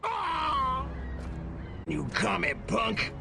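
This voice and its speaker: An actor playing a blustering, paranoid, hot-tempered military warmonger in a video game, bombastic and gravelly. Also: male voice, very high-pitched, huge pitch swings, very slow, exaggerated voice. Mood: furious. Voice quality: gravelly, forceful. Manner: bombastic, barking. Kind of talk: video game cutscene acting. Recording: long hall reverb, faint music.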